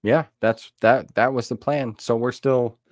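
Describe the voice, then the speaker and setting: A man's voice: medium pitch, steady tone, a little slow, darkish tone, no room sound, studio quality. A middle-aged man hosting a podcast interview in a casual, chatty style. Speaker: podcast host. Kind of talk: casual chat.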